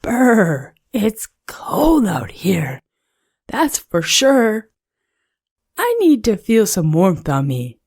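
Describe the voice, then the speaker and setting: A woman's voice: mid-range voice, huge pitch swings, extremely slow, a little mellow, slightly rough, no echo, studio quality, voice pushed up, full character voice. A woman voicing a lovable, cheerful, dramatic snowman who is forever cold, in a children's storybook read-aloud. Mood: shivering, complaining.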